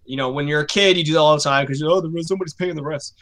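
A man co-hosting a casual sports podcast, opinionated and animated when he rants about the game. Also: a little high, very fast. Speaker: podcast co-host, youth coach.

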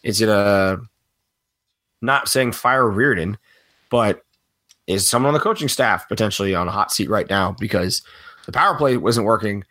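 A man co-hosting a sports podcast while he has a cold, sounding a little raspy, talking in a rambling, opinionated way and laughing often. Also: lowish voice, normal speed, pauses often, bright, forceful tone. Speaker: regular podcast co-host. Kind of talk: casual sports podcast chat.

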